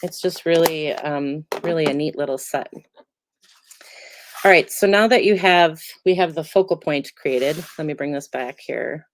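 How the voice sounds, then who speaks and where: A middle-aged woman demonstrating card making, chatty and relaxed with many ums, still a little congested from a cold. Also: deep voice, a little slow, a little hiss or hum. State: congested, getting over a cold. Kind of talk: casual crafting tutorial.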